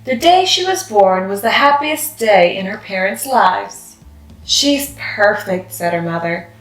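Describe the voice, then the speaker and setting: A woman narrating a children's read-aloud as host, with expressive, deliberate delivery for children. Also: medium pitch, echoey room, faint music behind, poor audio. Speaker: storybook reader, channel host.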